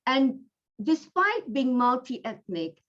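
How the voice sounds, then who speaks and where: A woman from Malaysia with a Malaysian accent, giving an online medical lecture in an unhurried, chatty way with fillers, over a video call. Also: sits high, very animated, a bit bright. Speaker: guest lecturer, gynecologist. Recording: dull lo-fi audio.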